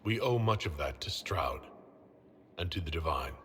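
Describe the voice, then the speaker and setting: An actor playing a resolute, sober military leader in a game cutscene, speaking firmly and deliberately. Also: male voice, deep voice, expressive, fairly bright tone, a little hiss or hum. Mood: subdued. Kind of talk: video game cutscene drama. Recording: background ambience behind voice.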